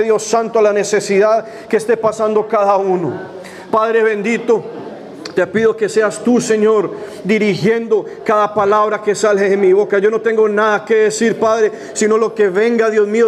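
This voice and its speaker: A man leading a church service, first praying fervently with repeated invocations, then telling a personal story in a loose, conversational way. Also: sits high. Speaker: pastor. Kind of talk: sermon with opening prayer and testimony.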